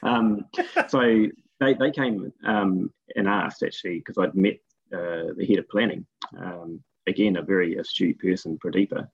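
A man with a New Zealand accent, a guest telling stories about his work in a relaxed, rambling way with many fillers. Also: sits low, moderate pace, vocal fry, husky, no room sound, highs cut off. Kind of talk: casual interview talk.

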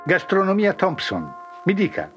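A man voicing a cheerful, confused older ex-grocer who talks with brisk shopkeeper banter. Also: high voice, huge pitch swings, highs cut off, faint music behind, voice pushed up, exaggerated voice. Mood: jovial.

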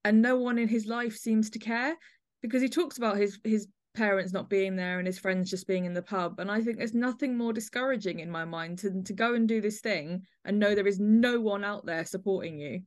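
A woman's voice: mid-range voice, a little fast. Woman hosting an arts podcast. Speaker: podcast host. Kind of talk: conversational review of an audio play.

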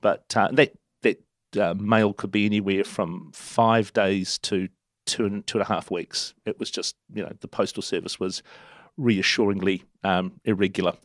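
A middle-aged man with a New Zealand accent, a guest telling stories in a relaxed, self-deprecating way with many restarts. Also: deep voice, normal speed, no echo, clean studio sound. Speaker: interview guest. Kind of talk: relaxed storytelling.

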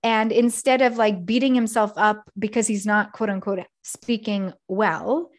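A woman's voice: medium pitch, fairly even intonation. Thoughtful woman podcast host. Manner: thoughtful, affirming, measured. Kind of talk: conversational podcast interview.

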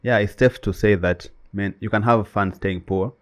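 A man's voice: fairly low pitch, steady tone, moderate pace. A man whose first language is Swahili, co-hosting a casual chat and talking emphatically with many "you know"s. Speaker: co-host.

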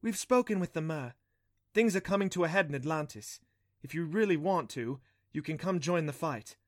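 A man voicing a kindly, solemn female magical being in a storybook, measured and assured. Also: a little high, animated, no echo, studio quality, pitched above the performer's own voice, close to an everyday voice. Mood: eager, kind.

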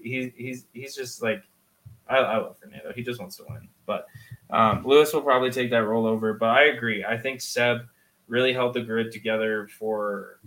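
Male sports podcast co-host, mid-pitched voice, chatty and slangy, explains in casual conversation. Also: a bit bright, rough recording. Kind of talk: casual motorsport discussion.

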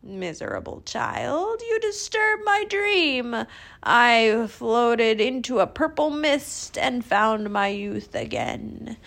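A woman's voice: a little high, vocal fry, fairly bright tone, heavily acted. A woman voicing a drowsy, grumpy old man in a children's story, with a dreamy, grumbling manner.

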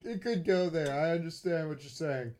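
A man's voice: a little high, normal speed, a little mellow, dry recording. A man chatting casually and crudely as a podcast co-host, swearing freely. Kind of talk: casual banter about game sounds.

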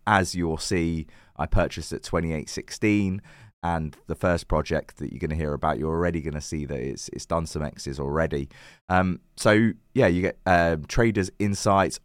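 A man with a croaky, worn voice hosting his own channel, giving chatty crypto commentary with lots of fillers and self-corrections. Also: deep voice, no echo, clean studio sound. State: croaky, recovering lost voice. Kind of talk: casual to-camera crypto commentary.

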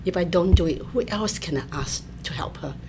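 An older woman from Malaysia, a professor being interviewed, explaining in a measured way with many fillers. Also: fairly low pitch. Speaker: anthropology professor, guest. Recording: faint ambient noise.

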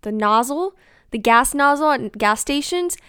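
A young woman chats on her own podcast, animated and exasperated, full of likes and ums. Her voice sits a little high and sounds smooth and crisp. The close home mic gives a dry sound with no room echo. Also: a little slow. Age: young adult. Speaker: podcast host. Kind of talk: casual solo podcast chat.

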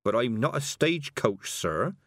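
A man's voice: mid-range voice, expressive, moderate pace, fairly bright tone, no room sound, studio quality, pitched above the performer's own voice, lightly acted. A man voicing a deferential, grumbling village carter in an audiobook, with a reproachful manner. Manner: reproachful, keeps saying sir. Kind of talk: audiobook character voice.

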